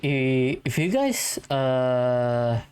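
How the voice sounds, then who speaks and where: A video game host giving casual commentary and thinking out loud while playing. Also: mid-range voice, very animated, drawn-out words, a little hiss or hum.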